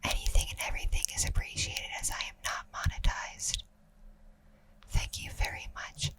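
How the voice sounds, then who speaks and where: Asmr host lady whispering to her listeners, soft and polite. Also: normal speed.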